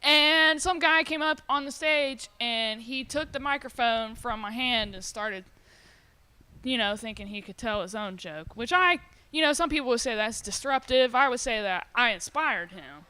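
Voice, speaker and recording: A woman in her twenties tells a comedy story with a straight face. Her voice is bright and clear, pitched a little high, and she mocks herself as she goes. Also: normal speed, low-quality recording. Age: 20s. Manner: deadpan self-mocking anecdote. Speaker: stand-up comedian. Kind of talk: casual panel chat.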